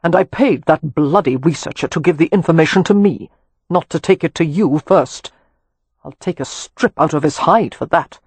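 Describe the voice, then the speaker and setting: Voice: mid-range voice, expressive, normal speed, a little mellow, husky, no room sound. A reader voicing a proud, imperious old Irish earl with an Anglo-Irish accent, who speaks in a cold, commanding and dismissive way. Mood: haughty. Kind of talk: audiobook character reading.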